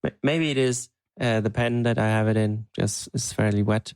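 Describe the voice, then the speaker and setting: A man co-hosting a podcast, chatting casually and thinking aloud in an unhurried, hesitant way. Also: lowish voice, fairly even intonation, a little mellow, clean tone, dry recording. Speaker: podcast co-host. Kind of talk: casual chat.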